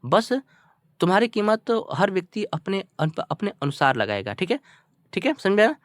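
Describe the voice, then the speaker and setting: A man's voice: sits high, very animated, moderate pace, dry recording, studio quality. A man with an Indian accent teaching through a story, explaining phrase by phrase with repetition and checking questions. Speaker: English teacher. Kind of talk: bilingual storytelling lesson, translating line by line.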